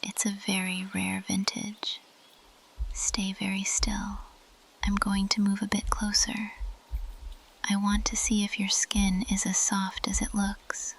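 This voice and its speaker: A woman performs as an ancient female plant monster in an ASMR roleplay. She whispers in a breathy, creaky voice, unhurried and intimate. Her manner is teasing, possessive and predatory, with seductive curiosity. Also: medium pitch, completely flat delivery, full character voice, poor audio. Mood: predatory fascination. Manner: intimate, teasing, slow and deliberate.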